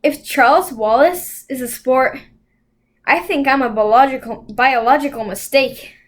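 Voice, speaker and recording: Storybook teen girl, read by a woman: fairly high voice, earnest, insecure, self-doubting. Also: measured pace. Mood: sorry, self-deprecating. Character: tearful, self-doubting young teenage girl.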